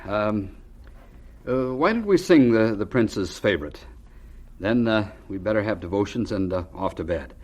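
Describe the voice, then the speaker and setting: A man playing a kindly, hesitant family father in a radio drama, halting, folksy and affectionate. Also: fairly low pitch, expressive, darkish tone. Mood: flustered, subdued.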